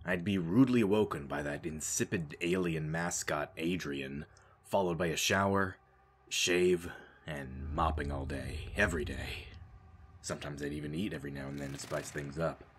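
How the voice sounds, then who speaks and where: A man acting a world-weary, deadpan space marine reduced to janitor, telling his story as dramatic audio drama narration. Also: extremely low pitch, moderate pace, a little hiss or hum. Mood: weary, sardonic. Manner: sardonic, deadpan, brooding. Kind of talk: dramatic first-person scripted narration.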